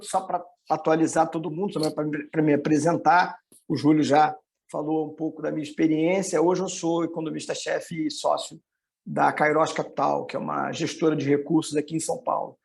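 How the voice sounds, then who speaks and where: Creaky-voiced middle-aged Carioca man presenting. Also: a little high, steady tone, normal speed, darkish tone. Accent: Carioca (Rio de Janeiro) Brazilian. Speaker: economist giving a presentation. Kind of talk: informal online presentation with slides.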